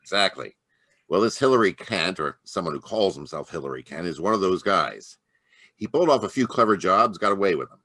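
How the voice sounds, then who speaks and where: An actor playing a blustering police inspector with a blunt delivery in a live radio drama. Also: male voice, sits low, expressive, brisk, bright, forceful tone, no echo, heavily acted. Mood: gruff. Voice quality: brash, blunt American delivery. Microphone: video call.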